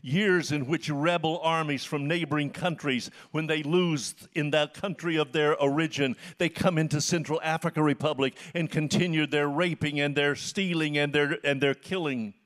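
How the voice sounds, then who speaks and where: An American man in his seventies preaching in short, earnest phrases with emphatic repetition, pausing for an interpreter. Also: a little high, steady tone, fairly bright tone. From United States. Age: 70s. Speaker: missionary preacher, guest speaker.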